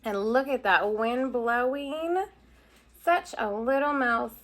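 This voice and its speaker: Woman teacher, storybook read-aloud for kids, slightly high voice, chatty asides. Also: slow. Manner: explains pictures with asides to young listeners.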